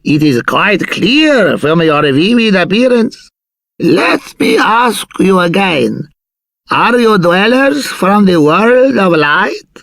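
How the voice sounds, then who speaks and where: A solemn, pious leader of a game village. His voice is high and creaky, and he speaks slowly, with formal courtesy. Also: sing-song, fairly bright tone, no echo, exaggerated voice. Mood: solemn, welcoming. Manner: formal, measured.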